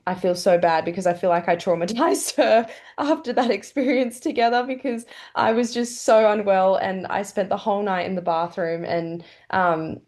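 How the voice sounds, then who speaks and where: A young Australian woman in her twenties talks through her answers in a casual, rambling way, and "um" keeps slipping in between her thoughts. Her voice sits a little low for a woman. She sounds relaxed and open, like a guest at ease on a podcast. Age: 20s. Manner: chatty, many "um" fillers, laughs often. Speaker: pro golfer guest.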